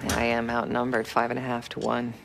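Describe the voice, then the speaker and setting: An actress playing a guarded captive female operative with a creaky voice in a cinematic video game. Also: a bass voice, monotone, a little fast. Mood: taunting, defiant. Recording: noisy ambience behind.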